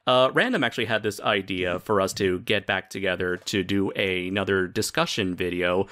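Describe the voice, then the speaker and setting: Man, host, fairly low voice, hesitant, lots of fillers. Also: moderate pace, pushed and bright. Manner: hesitant, many filler words. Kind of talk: hosting a discussion video.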